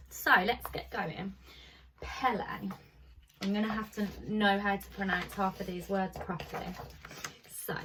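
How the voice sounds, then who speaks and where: A woman's voice: mid-range voice, fairly bright tone, distant mic, slight background noise, poor audio. A woman primary school teacher reading aloud to children in an informal, chatty way.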